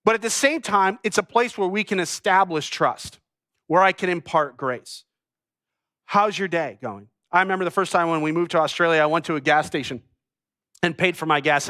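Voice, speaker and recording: A middle-aged man from New England delivers a sermon from a church stage, and his voice sits high and bright. He tells stories with animated energy and acts out snippets of conversation along the way. The sound is dry and close, with little room echo. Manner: animated storytelling with acted-out dialogue. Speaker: pastor.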